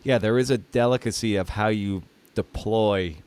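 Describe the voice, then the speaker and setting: Guy hosting an interview podcast, kinda deep smooth voice, casual, thinks out loud w lots of asides. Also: normal speed. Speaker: podcast host interviewing a writer.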